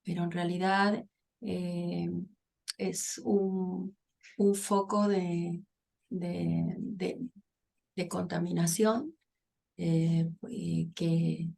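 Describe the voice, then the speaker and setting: Woman with a gravelly, creaky voice. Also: lowish voice, steady tone, a little mellow. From Argentina. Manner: thoughtful, pausing with many fillers and restarts. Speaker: guest psychologist and author. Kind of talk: conversational interview.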